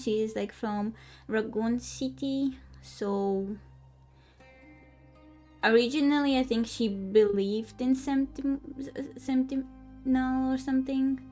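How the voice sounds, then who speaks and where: A woman doing casual gameplay commentary, haltingly reading on-screen text aloud and stumbling over words. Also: high-pitched, warm tone. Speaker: game streamer. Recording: game music behind. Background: video game music.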